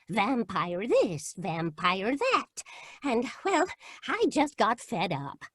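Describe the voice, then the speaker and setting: Radio horror: actress as genteel elderly vampire wife, high swooping voice, unhurried, cheerfully matter-of-fact about murder. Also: female voice, no echo, clean studio sound. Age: older. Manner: chatty, theatrical, matter-of-fact about killing. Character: sweet old lady turned vampire, blithely murderous wife. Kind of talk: 1940s-style radio horror acting.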